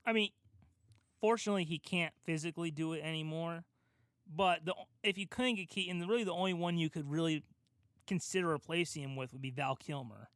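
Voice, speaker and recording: A man's voice: fairly high pitch, fairly quick, a bit bright, clean tone, dry recording, clean studio sound. A man co-hosting a casual podcast, talking in a rambling, enthusiastic fan's way. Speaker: podcast co-host. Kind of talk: casual podcast discussion of comic book films.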